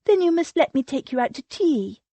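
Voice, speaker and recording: Woman voicing gushing, clingy society wife; high, swooping, pleading. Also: very animated, fairly quick, warm tone, no echo. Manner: effusive, pleading, breathless.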